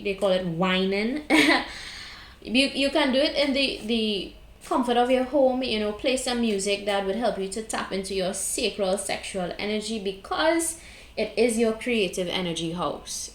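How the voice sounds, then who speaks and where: A woman's voice: medium pitch, unhurried, echoey room. A woman with a Trinidadian accent giving a tarot reading, talking bluntly and casually, often checking in with "okay?" and "right?". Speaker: tarot card reader. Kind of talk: casual spiritual advice monologue.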